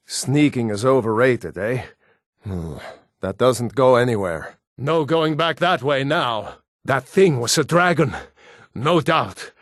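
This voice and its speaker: A man's voice: medium pitch, fairly bright tone, husky, no room sound, clean studio sound, exaggerated voice. An actor playing a steadfast, plain-spoken Nord rebel who urges his comrades on in a fantasy video game. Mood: guarded, steady. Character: rugged, steadfast Nord rebel man, wry and urgent. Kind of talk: fantasy game dialogue lines.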